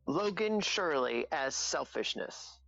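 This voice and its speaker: A woman's voice: deep voice, animated, slow pace, creaky, a bit bright, no echo, dull audio, faint music behind. A woman reading out cast credits as a scripted announcement.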